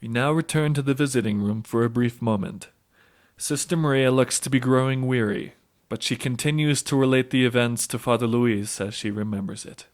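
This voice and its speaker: A man as measured, mid-voiced priest. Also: medium pitch, fairly even intonation, lightly acted. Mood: calm. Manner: measured, explanatory. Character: calm priest confessor narrating a saint's story. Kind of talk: audio drama acting and narration.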